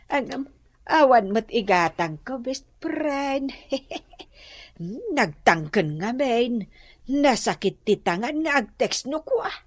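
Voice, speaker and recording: A woman's voice: a little high, dry recording. A woman acting a talkative, easily annoyed village wife in a radio comedy drama, with an Ilocano accent.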